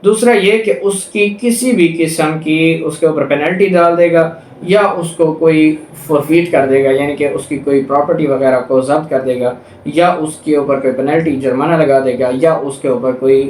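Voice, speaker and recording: A man's voice: fairly high pitch, darkish tone. A man with a Pakistani accent giving an explanatory law lecture in a didactic way, repeating and rephrasing his points. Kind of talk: explanatory law lecture, reading statutes aloud then explaining. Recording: roomy echo, distant mic, faint noise.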